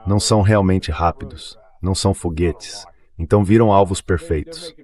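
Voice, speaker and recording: A man with a low-pitched voice speaks as a guest in a dubbed interview. He explains things conversationally and slips into anecdote, keeping a deep register. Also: normal speed, warm tone. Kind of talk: dubbed translation of a spoken interview.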